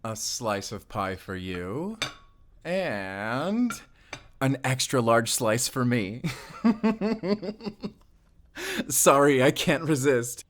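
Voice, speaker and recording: A man playing a friendly, self-effacing forest ranger and dad in an intimate audio roleplay, easygoing and gently teasing. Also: mid-range voice, big pitch swings, extremely slow, long pauses, slight background noise. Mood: delighted, welcoming.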